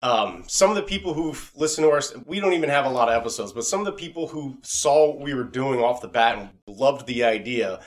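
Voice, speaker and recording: A man's voice: mid-range voice, expressive, a little fast, few pauses. A man hosting a podcast in a casual, rambling and enthusiastic chatty style. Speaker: podcast host. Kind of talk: casual chat.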